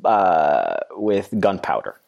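Lively low-voiced man with wry humour. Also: slow pace, creaky, highs cut off. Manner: wry, dry humour, chuckling asides. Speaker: podcast co-host. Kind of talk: casual podcast chat.

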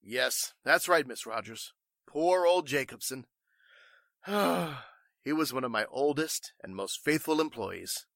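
A man's voice: mid-range voice, expressive, slow, gravelly, fairly bright tone, dry recording, clean studio sound, full character voice. A man playing a flustered, evasive warehouse owner in 1940s radio drama style, stammering and speaking with stuffy formality. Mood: rattled.

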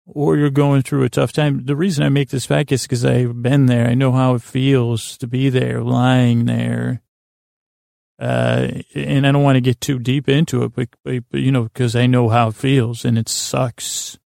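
A man hosting a podcast in a meandering, halting monologue, often repeating words and restarting sentences, with a self-deprecating manner. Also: mid-range voice, level tone, creaky, darkish tone, no room sound, studio quality. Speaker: podcast host. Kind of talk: rambling monologue introducing a sleep podcast.

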